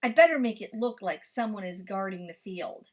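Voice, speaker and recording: A woman voicing a gruff middle-aged male farmer who exclaims and scolds loudly. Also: medium pitch, lively intonation, moderate pace, pitched below the performer's own voice, lightly acted. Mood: puzzled.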